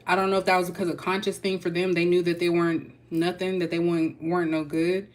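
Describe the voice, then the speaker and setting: A woman's voice: on the low side, level tone, quick pace. A young woman with a Texas accent giving a casual, chatty tarot reading and talking loosely to her viewers. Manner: chatty, loose, folksy with y'all. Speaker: tarot reader.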